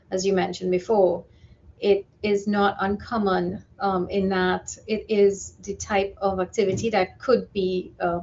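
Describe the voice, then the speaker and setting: A woman speaking as an expert guest in a live news interview, explaining carefully and with measured hesitation. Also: mid-range voice, darkish tone, highs cut off, a little hiss or hum.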